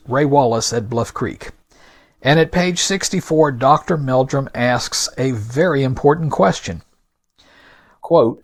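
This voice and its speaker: A man hosting a podcast with scripted narration, delivered in a deliberate, emphatic, argumentative manner. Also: fairly high pitch. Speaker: podcast host.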